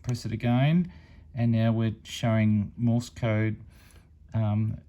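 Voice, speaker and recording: A man with a fairly low voice walks through a product demonstration in a casual, hands-on style. He explains things step by step and stays matter-of-fact, like a hobbyist reviewer hosting a channel. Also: fairly even intonation, slow pace, warm tone. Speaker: amateur radio reviewer and channel host.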